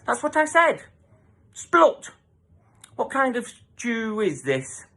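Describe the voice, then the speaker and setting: Guy doing a cheeky schoolgirl for a kids story, high voice, pert, argues back. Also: huge pitch swings, slow, pushed and bright, heavily acted. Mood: mock-polite, pert. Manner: pert, argumentative, mock-polite. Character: cheeky, defiant young schoolgirl. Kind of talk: storybook character voice.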